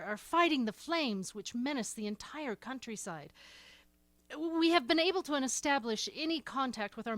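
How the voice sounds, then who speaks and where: A woman's voice: fairly high pitch, no room sound, close to an everyday voice. A woman playing a shaken studio announcer. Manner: hurried, stumbling bulletin reading. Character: shaken radio news announcer reading bulletins. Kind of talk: 1930s radio drama acting.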